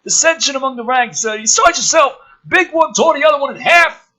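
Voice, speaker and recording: A man's voice: very high-pitched, fairly quick, pushed and bright, voice pushed up. A man voicing a smug, chatty, foul-mouthed government operative in a mocking script read, with flippant, exaggerated emphasis.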